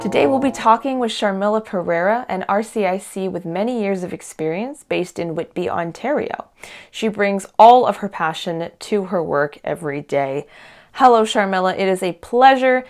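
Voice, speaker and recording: A woman's voice: mid-range voice, lively intonation, normal speed, soft background music. A woman hosting the show, introducing and questioning her guest in an upbeat, welcoming way. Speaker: show host. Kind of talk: scripted interview introduction.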